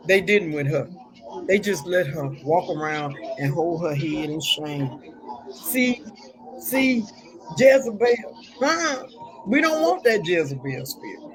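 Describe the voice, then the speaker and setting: A woman's voice: mid-range voice, poor audio. A woman hosting a talk show, telling stories and preaching scripture in a folksy, casual way.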